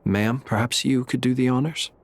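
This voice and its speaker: A man voicing a refined, courteous gentleman in an anime game, speaking politely and formally. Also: medium pitch, fairly even intonation, normal speed, slight background noise, lightly acted. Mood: polite, impressed.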